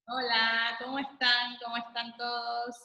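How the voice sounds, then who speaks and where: A woman's voice: on the high side, completely flat delivery, unhurried, bright, forceful tone, roomy sound, muffled recording. A woman, chatting on a video call as an interview guest, easygoing and full of small hesitations. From Argentina. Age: 40s. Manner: chatty, laughs easily, many small hesitations. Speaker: guest, Montessori school founder.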